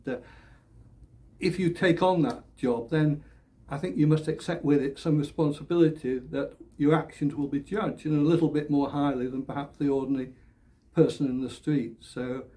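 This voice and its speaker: An older man from the north of England, a political candidate answering interview questions in a halting way, often repeating words, with small laughs. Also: medium pitch, mellow. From England, born Leeds, raised Oldham. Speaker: election candidate.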